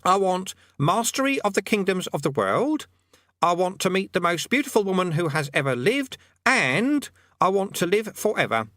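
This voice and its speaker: A man voicing a scrawny, excitable male demonologist who commands in stammering, pompous archaic words. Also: sits high, moderate pace, no echo, studio quality, voice pushed up. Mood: excited, pompous. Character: scrawny excitable middle-aged male demonologist, mad and pleading. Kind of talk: audiobook character voice.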